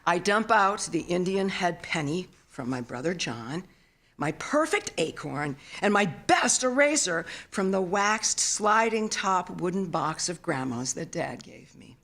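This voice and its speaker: A mature woman reading a literary memoir at a live event in an expressive, solemn storytelling manner. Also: on the low side, a little slow. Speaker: author reading own work. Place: theater stage, live reading.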